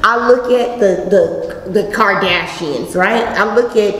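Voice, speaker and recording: Animated woman telling stories, echoey hall. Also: mid-range voice, slow, slight background noise. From California. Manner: animated storyteller, acts out quotes. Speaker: panel guest. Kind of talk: casual panel chat. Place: large studio room, round table.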